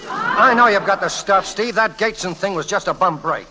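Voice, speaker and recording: An actor playing a brash, joshing newspaper boss, gruff but generous, in a punchy 1940s radio comedy style. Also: male voice, high-pitched, moderate pace, a crowd in the background, close to an everyday voice, low-quality recording. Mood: brisk, hearty. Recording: vintage 1940s radio broadcast recording.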